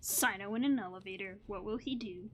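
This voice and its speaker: A woman's voice: mid-range voice, a little fast, slight background noise. A woman gaming streamer giving casual, chatty gameplay commentary with playful asides, game music playing behind her.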